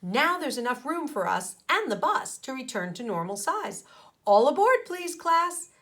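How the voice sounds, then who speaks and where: Storybook schoolteacher, acted by a woman: zesty, unflappable, commanding, cheerful, pitched up high. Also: very animated, unhurried, fairly bright tone, full character voice. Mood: delighted. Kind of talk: read-aloud children's storybook.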